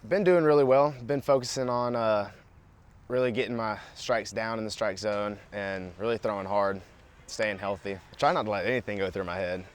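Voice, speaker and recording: A young college pitcher talks plainly about himself in a low, unhurried voice, with a few hesitations. Faint background noise runs under the interview. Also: male voice. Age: young adult. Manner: casual, plain-spoken, hesitant.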